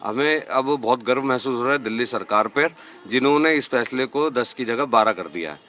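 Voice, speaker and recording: A man's voice: mid-range voice, normal speed, bright, forceful tone. A man, a community organiser, giving spoken answers in a news interview.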